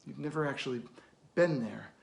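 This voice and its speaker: A man performing a dramatic film monologue as a weary, blunt middle-aged therapist, deliberate, with weighty pauses and emphatic bursts. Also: medium pitch, gravelly, close to an everyday voice. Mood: tender, grave. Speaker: actor.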